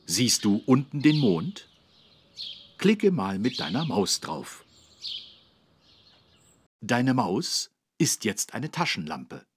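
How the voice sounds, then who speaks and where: A man's voice: medium pitch, very animated, takes it slowly, pauses often, husky, heavily acted. An actor playing a friendly policeman who patiently explains things to children in a kids' game. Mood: patient, inviting. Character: friendly adult male policeman explaining road safety. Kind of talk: scripted children's game dialogue. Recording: street traffic noise behind. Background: city street traffic.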